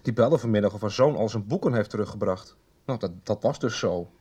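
An actor in a radio soap playing a chatty, conspiratorial library worker with a husky voice and halting, repetitive delivery. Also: male voice, lowish voice, dark tone. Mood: puzzled, explaining. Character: chatty, conspiratorial male library worker poring over records. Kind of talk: 1990s radio soap acting.

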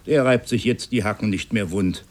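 An actor playing a small, fat ex-navy sailmaker in a radio drama, loudly and briskly giving orders. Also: male voice, lowish voice, natural voice. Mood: brisk, gruff. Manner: loud, ordering.

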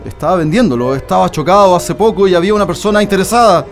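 A man playing a Chilean political prisoner in a radio drama, guarded and halting in his speech. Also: sits high, soft background music. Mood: anxious, guarded. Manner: halting, stammering.

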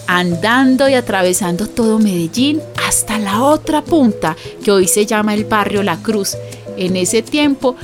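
An actress playing a chatty, streetwise, friendly neighbor with a Colombian paisa accent, narrating and acting in a radio drama. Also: female voice, mid-range voice, natural voice. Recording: music bed behind the voice.

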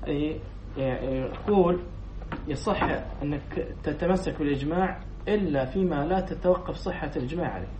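Sheikh lecturing in an echoey hall. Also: male voice, a little high, lively intonation, normal speed. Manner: quizzes students, then repeats their answers. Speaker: sheikh teaching a class. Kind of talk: lecture reading a text and explaining it. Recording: echoey room, distant dull mic, faint noise.

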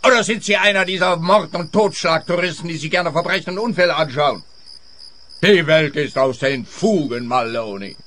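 Radio-play police officer male actor: sardonic, condescending, bright forceful tone, slightly high pitch. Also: moderate pace, natural voice. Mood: sardonic, weary. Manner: sarcastic, condescending. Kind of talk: radio comedy-crime acting.

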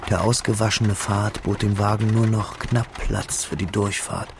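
A man reading scripted literary narration for a radio drama, with a gravelly voice. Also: fairly low pitch, steady tone, normal speed, noisy background. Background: music.

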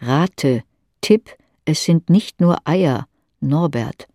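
A woman's voice: deep voice, lively intonation, extremely slow, warm tone, dry recording. Woman narrator, very close dry sound. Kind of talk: scripted literary second-person narration, audio drama.

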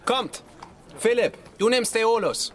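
A man playing a plucky, commanding travelling actor in a German children's radio drama, theatrical and quick-witted. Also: very high-pitched, noisy background. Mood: brisk. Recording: music behind the voice.

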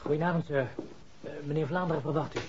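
An actor playing a deferential, slightly anxious manservant, respectful and a little hesitant, in a 1960s Dutch radio drama. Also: male voice, on the high side, quick pace, noisy background, close to an everyday voice. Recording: dull vintage radio recording.

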